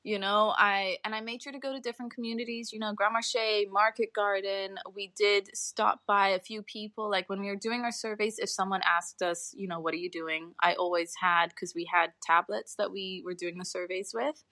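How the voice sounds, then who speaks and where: A woman's voice: medium pitch, fairly even intonation, normal speed, few pauses, pushed and bright, no room sound. A young adult woman talking informally as an interview guest, explaining her research and qualifying points as she goes.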